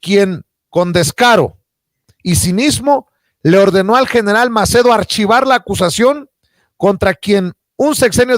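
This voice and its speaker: A Mexican man with a notably high voice presents political commentary as a show host. His delivery is emphatic and accusatory, and the sound is dry and close. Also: no room sound. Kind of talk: political news commentary, partly read from notes.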